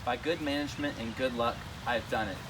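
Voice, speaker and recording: A man playing a wise, commanding old wizard in an amateur readers' theater, reading aloud with deliberate, halting delivery. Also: medium pitch, close to an everyday voice. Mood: matter-of-fact. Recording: noisy outdoor recording with traffic hum.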